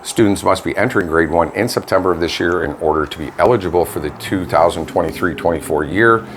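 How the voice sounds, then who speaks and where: A man's voice: sits low, fast talker. A Canadian man hosting a local online radio show, casually chatting through community news in a folksy, colloquial way from a noisy home studio.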